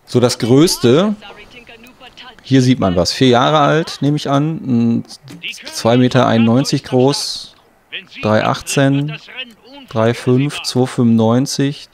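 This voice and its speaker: A man's voice: medium pitch, gravelly, darkish tone. A man giving casual gameplay commentary, thinking aloud in an offhand, colloquial way. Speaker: let's play host.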